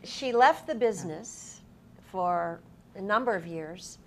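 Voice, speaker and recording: A woman's voice: medium pitch, lively intonation, slow. A middle-aged woman interviewing a guest conversationally, in an effusive, admiring way. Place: indoor TV studio desk. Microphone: lapel mic.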